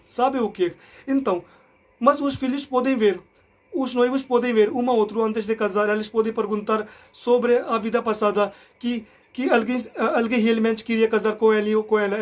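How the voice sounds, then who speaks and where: A young man from India speaking Portuguese as a learner. He explains things casually to the camera, often restarting and correcting himself and checking in with "entende?". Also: very high-pitched. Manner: halting, frequent restarts and self-corrections. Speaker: vlogger.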